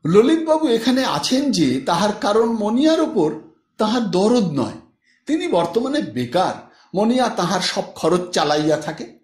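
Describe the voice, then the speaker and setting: A man narrates a literary audiobook in a high voice that swings widely in pitch. His reading is measured and expressive, and the recording has a strong roomy echo. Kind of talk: dramatized literary story narration.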